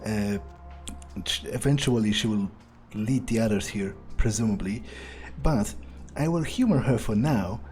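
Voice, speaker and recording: Sardonic man with a dark, mellow voice. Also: on the low side, unhurried, soft background music. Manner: sardonic, amused, swearing, hesitant phrasing. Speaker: gamer playing in character. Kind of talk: in-character roleplay commentary, thinking aloud.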